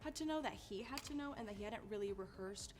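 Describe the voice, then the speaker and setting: A woman's voice: mid-range voice, animated, fast. A young woman, a student actor, talking casually in an interview. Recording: music under the voice.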